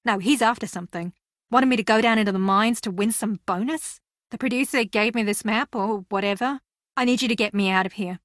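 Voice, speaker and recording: A woman's voice: mid-range voice, a little fast, fairly bright tone, no echo, studio quality, close to an everyday voice. A woman voicing a scheming, theatrical young female reality-show contestant in a video game, sassy, boastful and combative.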